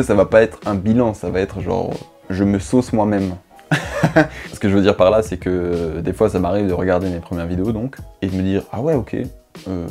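Low-voiced young man rambling to camera. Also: a little mellow. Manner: slangy, rambling, self-mocking. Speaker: YouTuber. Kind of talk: improvised casual monologue to camera. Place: dim bedroom studio, indoors. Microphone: lapel mic.

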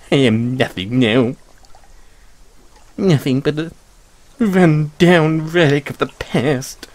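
A man voicing a bitter, tormented frog mascot in an audio roleplay, with a halting, tearful, self-pitying delivery. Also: a little high, darkish tone, no echo. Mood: despairing. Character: weepy, bitter, tormented frog mascot haunting an abandoned amusement park. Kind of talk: audio roleplay monologue with mournful sung counting rhyme.

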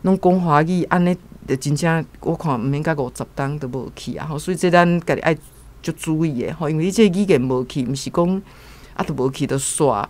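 A woman's voice: deep voice, brisk, darkish tone. A woman with a Taiwanese accent speaking earnestly and informally as a guest commentator.

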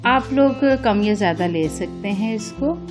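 A woman's voice: mid-range voice, expressive, measured pace, music in the background. A woman hosting a home cooking vlog, casually narrating a recipe step by step and politely instructing viewers.

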